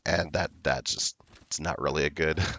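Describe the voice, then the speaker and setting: Male streamer, hoarse, dry close sound, very low voice, slow rambling chat. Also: steady tone, sharp tone, dry recording. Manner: slow, rambling, laid-back talk. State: hoarse, recovering from illness. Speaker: game streamer. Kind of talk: casual livestream chat.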